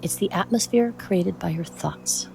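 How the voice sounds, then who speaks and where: Mellow, creaky-voiced meditation guide. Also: medium pitch, faint music behind, poor audio. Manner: soothing, measured, with frequent pauses.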